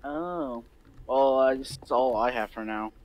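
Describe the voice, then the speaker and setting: A man's voice: mid-range voice, fairly even intonation, a little slow, darkish tone, lightly acted, rough recording. A man playing an easygoing young newcomer to town in an improvised Minecraft roleplay, answering casually and hesitantly. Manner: hesitant, brief casual replies. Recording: music behind the voice.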